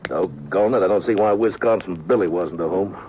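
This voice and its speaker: An actor playing a rough, gleeful frontier outlaw who talks in a pushy western drawl. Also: male voice, sits low, a little fast, darkish tone, lightly acted. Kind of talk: 1950s radio drama acting. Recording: hissy, dull old radio recording.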